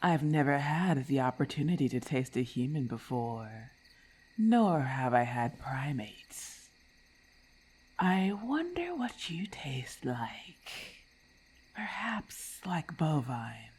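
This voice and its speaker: A woman voicing a haughty, menacing female lamia in an ASMR roleplay, with a husky, breathy voice and formal, condescending diction. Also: a bass voice, very animated, takes it slowly, darkish tone, a little hiss or hum, exaggerated voice. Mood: hungry, threatening. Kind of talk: ASMR roleplay, breathy half-whispered acting.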